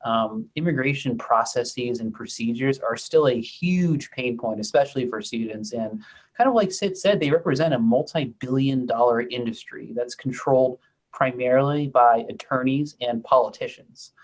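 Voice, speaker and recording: A man's voice: medium pitch, moderate pace, poor audio. A young man, a startup co-founder, answering panel questions thoughtfully with many ums and restarts.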